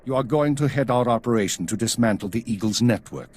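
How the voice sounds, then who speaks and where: An actor playing a stern intelligence chief in a video game, with a husky voice and a clipped, authoritative, measured delivery. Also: male voice, mid-range voice, darkish tone, natural voice. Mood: grave, commanding. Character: stern male spymaster, commanding and coolly measured.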